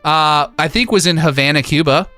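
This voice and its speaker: A man's voice: a little high, moderate pace, pushed and bright, dry recording, faint music behind. A man in his thirties casually chatting about video games on a live stream, reminiscing enthusiastically in slangy, informal speech.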